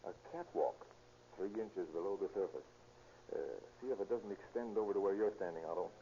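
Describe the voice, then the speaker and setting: Man acting deep-voiced 1930s radio police detective. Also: a little fast, mellow, natural voice. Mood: businesslike. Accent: American. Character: shrewd, commanding American police detective leading a raid. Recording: muffled, noisy 1930s radio recording.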